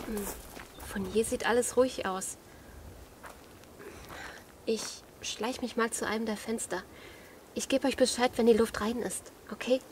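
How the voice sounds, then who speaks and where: Brave, take-charge survivor woman, played by an actress, zombie radio play, highish pitch, clearly noisy recording. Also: steady tone, unhurried, long pauses, natural voice. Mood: cautious, reassuring. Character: brave, practical female survivor of a zombie apocalypse. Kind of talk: German radio play acting. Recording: clear background noise with clicks.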